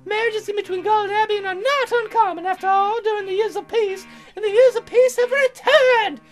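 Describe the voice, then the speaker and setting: A squeaky falsetto foreign officer. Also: male voice, steady tone, brisk, soft background music. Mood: courtly. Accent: put-on foreign accent. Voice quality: very high falsetto. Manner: formal, polite phrasing. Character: courteous foreign naval officer, adult man, formal.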